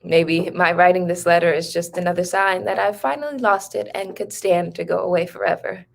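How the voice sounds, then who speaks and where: An actress playing a troubled grown woman who reads her farewell letter slowly and somberly. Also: on the low side, gravelly, a little hiss or hum. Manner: slow, somber letter reading.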